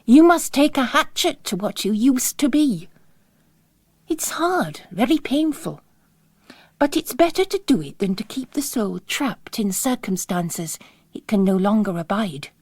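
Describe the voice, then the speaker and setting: Woman voicing a measured, mysterious male priest. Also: a little high, big pitch swings, no echo. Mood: earnest, persuasive. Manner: measured, formal, sermonizing. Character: mysterious male priest stranger, calm, philosophical, quietly menacing.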